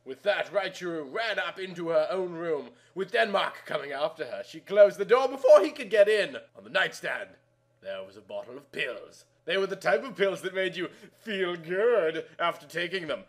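A man's voice: sits high, animated, normal speed. A man reading a story aloud in a theatrical, exaggerated way. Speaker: narrator reading amateur fan fiction aloud.

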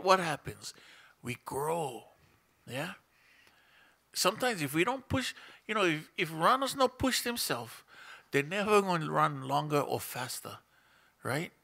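A man's voice: high-pitched, gravelly, sharp tone. A middle-aged man with a Hawaiian Pidgin-tinged accent, preaching conversationally from his seat in a small church room and asking the congregation questions. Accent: Hawaiian Pidgin-tinged English. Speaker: pastor. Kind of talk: sermon. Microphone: stand mic in front of him, seated.

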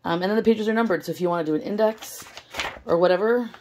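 A young woman, hoarse from losing her voice, chatting excitedly with lots of fillers while unboxing things at a table. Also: medium pitch, fast talker, a little hiss or hum. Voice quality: gravelly, strained. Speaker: stationery vlogger. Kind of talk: casual unboxing vlog. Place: indoors at a table, filming overhead. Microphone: camera held close over the table.

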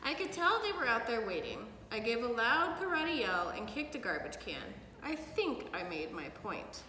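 A middle-aged woman reads a children's story with a bright, fairly high voice and very expressive delivery. The room is echoey and reverberant, picked up from a little way off. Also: moderate pace. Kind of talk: read-aloud of a picture book for children. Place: living room, indoors. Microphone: camera a few feet away. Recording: echoey hard-walled room, some background noise.

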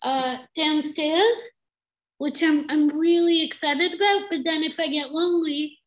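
A woman's voice: sits high, measured pace, fairly bright tone. A woman panelist chatting eagerly on a video call. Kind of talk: casual video call chat.

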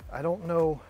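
A man's voice: fairly high pitch, mellow. A man co-hosting a vlog, chatting casually and playfully outdoors, picked up by a camera mic. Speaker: pond rescue vlog co-host. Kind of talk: casual chat while working. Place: outdoors at a garden pond.

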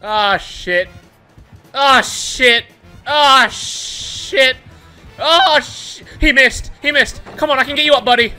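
A young man commentating his own gameplay, excitable and swearing, shouting at the game and laughing, a bit worn out. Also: extremely high pitch, takes it slowly, smooth, soft background music. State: tired, says his voice is going. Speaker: gaming YouTuber.

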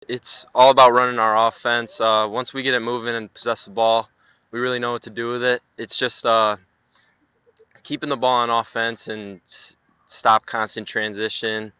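A young man, a high school lacrosse player, speaking confidently and upbeat in a post-game interview on a field at night with a handheld mic. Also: little pitch movement, moderate pace, pushed and bright, smooth, no room sound, dull audio. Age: young adult. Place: outdoor sports field at night.

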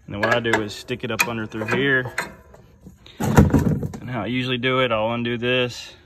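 A man's voice: mid-range voice, little pitch movement, normal speed, very noisy. A young man casually explaining, step by step, how he changes the oil on a mower while he works outdoors. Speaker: landscaper. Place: outdoors in a yard.

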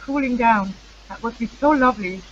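A middle-aged woman co-hosting a casual chat from her living room on a phone handset, relaxed, with fillers and trailing sentences. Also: on the high side, normal speed, lo-fi sound, a crowd in the background. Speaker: co-host. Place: home living room, indoors.

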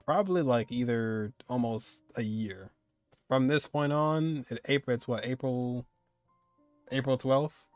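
A man's voice: medium pitch, unhurried, no room sound. A young man reacts casually to a video game as a streamer. His voice sits in a middle register, with game music playing behind him. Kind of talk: casual live reaction commentary.

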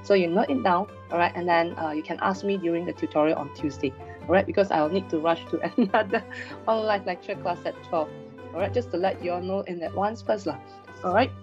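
Woman, 30s, lecturer, slightly hoarse, online class, webcam mic, dull lo-fi sound, faint music behind. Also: lowish voice, brisk, a little mellow. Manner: chatty, many fillers and "all right" checks. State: slightly hoarse after a sore throat. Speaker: university lecturer. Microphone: video call webcam.

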